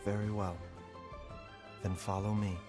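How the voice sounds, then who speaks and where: A man playing a learned, gentle and composed doctor in a fantasy game, speaking in a measured, clinical manner. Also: fairly low pitch, flat delivery, music in the background, close to an everyday voice. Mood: cautioning. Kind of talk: animated fantasy game dialogue.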